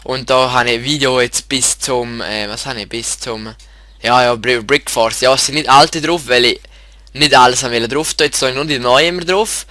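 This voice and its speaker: A man with a Swiss German accent, congested from a cold, talks in a casual, rambling way, restarting sentences and filling gaps with hesitations. His voice is bright and sharp-edged. The sound is close and dry, with no room echo. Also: medium pitch. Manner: halting, rambling, many restarts and fillers. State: stuffy with a head cold. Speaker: YouTube channel host. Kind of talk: casual screen-share info video.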